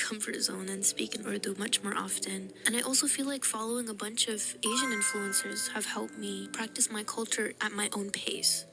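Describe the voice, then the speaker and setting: A young adult woman telling stories to camera in a casual, animated way, with frequent laughs and fillers. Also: medium pitch. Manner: animated and giggly, with many fillers. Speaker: vlogger. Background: background music.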